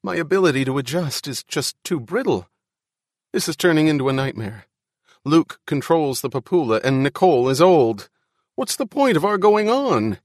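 A man's voice: medium pitch, lively intonation, slow pace, dry recording, studio quality. A man voicing an anxious, fragile male musician in an audiobook, fretful and pleading.

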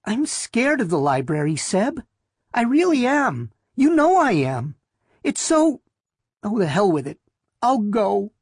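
A man's voice: sits high, sing-song, measured pace, breathy edge, dry recording, clean studio sound, voice pushed up, heavily acted. A man voicing an earnest, anxious but stubborn young wife in an audiobook story. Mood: scared. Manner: earnest, hesitant.

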